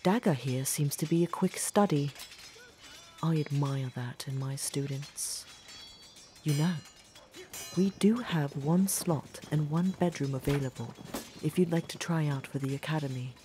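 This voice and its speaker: Woman playing a mellow, commanding knight academy commander. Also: low-pitched, faint music behind. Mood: composed, commanding. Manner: measured, authoritative, formal. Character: stern but caring female commander of a knights' academy. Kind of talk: scripted fantasy audio roleplay.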